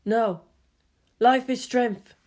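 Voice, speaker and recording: A woman's voice: a little high, very slow, a little mellow, pitched above the performer's own voice. Woman reading a defiant young warrior woman.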